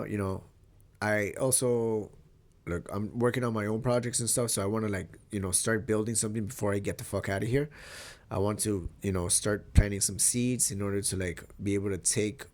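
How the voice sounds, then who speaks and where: A young man from Mexico talking casually in a vlog, with a stuffy, nasal voice from a cold, rambling and swearing easily. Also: fairly low pitch. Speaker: vlogger.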